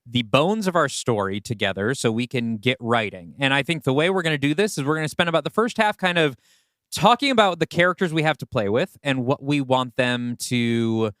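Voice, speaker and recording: A man's voice: medium pitch, lively intonation, brisk, a bit bright, no echo, studio quality. A man co-hosting a podcast, talking through story plans in a casual, explanatory, conversational way. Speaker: podcast co-host. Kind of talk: casual story-planning discussion.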